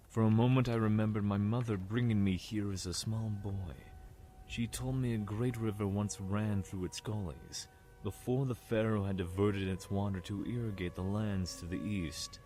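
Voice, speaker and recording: A man's voice: on the low side, darkish tone, lightly acted. A man acting a wry, reflective Egyptian prince who narrates his past in an audio drama. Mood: awed, descriptive. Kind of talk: scripted first-person narration. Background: music.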